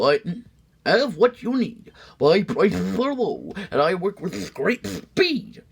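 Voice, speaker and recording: A man voicing a cartoon cat salesman, a smooth swindler with a loud, lisping, slurred voice and showy, wheedling patter. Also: high voice, pitched above the performer's own voice, exaggerated voice. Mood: ingratiating, boastful.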